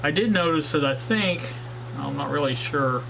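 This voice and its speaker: Rambling man with a slightly high voice. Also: expressive, moderate pace, gravelly, background noise. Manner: rambling, many fillers and restarts. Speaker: radio hobbyist channel host. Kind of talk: casual workshop demo vlog.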